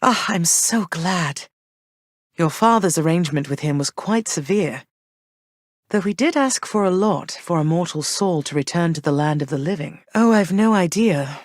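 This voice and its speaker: An actress voicing a gentle, regal queen who speaks to her son in a warm and measured way. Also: fairly low pitch, animated, no room sound. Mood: soft, affectionate. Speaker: game character. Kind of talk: studio game voice lines. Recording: dry studio recording.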